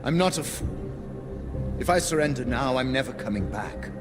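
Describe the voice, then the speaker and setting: An actor playing a principled, embattled pacifist leader in a video game cutscene, speaking with solemn, formal eloquence. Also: male voice, mid-range voice, expressive, normal speed, lightly acted. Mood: defiant, solemn. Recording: loud music behind the voice.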